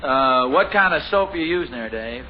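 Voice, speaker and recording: A man's voice: medium pitch, animated, normal speed, phone-quality sound, lightly acted. A middle-aged man playing a genial, easily flustered family father in a radio sitcom, chuckling and amiable. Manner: chuckling, amiable, a little bumbling. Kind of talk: 1950s radio sitcom acting. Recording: scratchy 1950 radio broadcast with hiss.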